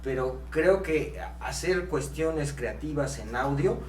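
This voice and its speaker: A man's voice: a little high, slow, a little mellow. A man with a Mexican accent talking casually as a podcast co-host. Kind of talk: casual conversational podcast talk. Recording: echoey room, distant mic, faint hum.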